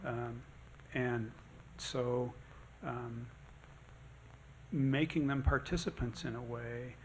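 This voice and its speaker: This is a man speaking on a discussion panel, answering an audience question in a thoughtful and measured way. His voice sits at an ordinary middle pitch, and a faint hum of the room sits behind him. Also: fairly even intonation, poor audio.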